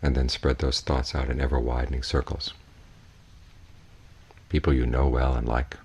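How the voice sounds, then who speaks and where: A man teaching in a guided meditation, giving measured, unhurried instructions with long pauses. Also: extremely low pitch, little pitch movement, a little mellow, slightly rough. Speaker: Buddhist monk, meditation teacher.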